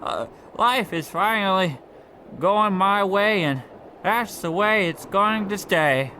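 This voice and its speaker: A man performs a meek old clerk in a high, slow voice, hesitant and long-winded with earnest naivety. It is radio comedy acting, set against clearly audible street and traffic noise. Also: lively intonation, sharp tone, exaggerated voice. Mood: timid, hopeful. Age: older. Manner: hesitant, stammering, wordy and earnest. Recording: noisy outdoor street ambience with traffic.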